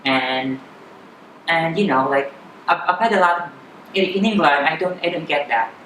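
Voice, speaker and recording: A woman in her thirties with a Filipino accent, chatting candidly as an interview guest, often halting and repeating words. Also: low voice, a bit bright. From Philippines. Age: 30s. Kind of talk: personal interview chat. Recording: echoey room with clear background noise.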